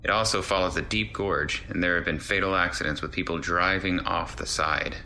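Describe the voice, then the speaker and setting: A man with a very low but bright, forceful voice reads a first-person creepy tale. His telling is conversational and descriptive, with faint noise behind him. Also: fairly even intonation, fairly quick, gravelly. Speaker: narrator reading a listener's true story. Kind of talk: first-person scary story narration.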